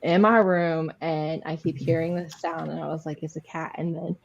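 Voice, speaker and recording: A woman's voice: low-pitched, steady tone, normal speed, vocal fry. A woman hosting a casual game among friends, chatting and telling stories informally over lo-fi online audio. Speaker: host of a word-guessing game among friends. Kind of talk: casual, animated chat and storytelling.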